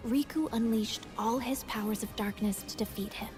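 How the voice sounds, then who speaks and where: A woman reading scripted narration for a video game story recap in a measured, solemn storytelling manner. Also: fairly high pitch, music in the background.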